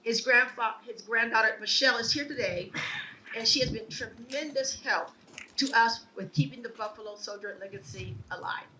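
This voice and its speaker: A woman giving a prepared speech at a ceremony, telling her family's history in a measured, earnest way. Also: a little high, distant mic, very noisy. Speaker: ceremony speaker. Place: outdoor ceremony.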